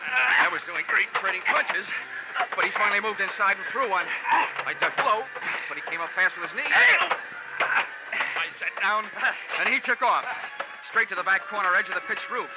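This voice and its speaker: Hardboiled radio detective, old dull recording. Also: male voice, high voice, lively intonation, normal speed, pauses often. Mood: tense, wisecracking. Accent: American. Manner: wry, hardboiled, wisecracking. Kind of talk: 1940s radio detective drama, first-person narration. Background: dramatic radio music.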